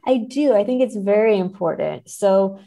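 Female podcast guest, scholarly and explanatory, with a soft dark tone and plenty of vocal fry. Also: mid-range voice, animated, moderate pace. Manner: analytical, explanatory. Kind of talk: thoughtful interview discussion.

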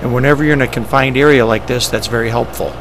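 A man's voice: medium pitch, quick pace, creaky. A man giving matter-of-fact, step-by-step instructional narration as a pilot, often in short clipped phrases. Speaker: pilot presenting ski flying. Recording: steady engine and vehicle noise.

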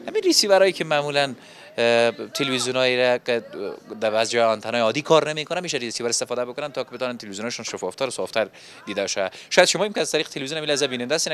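A man's voice: medium pitch, fairly bright tone, clean tone. A young man with an Afghan Dari accent, reporting and interviewing on location for television with a handheld microphone. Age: young adult. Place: open-fronted electronics shop on a market street.

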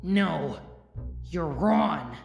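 A woman voicing a distressed, defiant young male hedgehog hero in a comic dub, with a halting, anguished delivery. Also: sits low, animated, gravelly, dull audio, heavily acted. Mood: anguished anger. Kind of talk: scripted fan comic dub. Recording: echoey voice over background music.

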